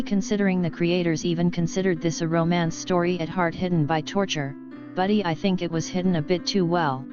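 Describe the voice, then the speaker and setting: A woman with a low voice reads out a scripted review as a blunt, opinionated critic. The recording is a little dull and lo-fi, with guitar music playing clearly behind her. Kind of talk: scripted video review narration.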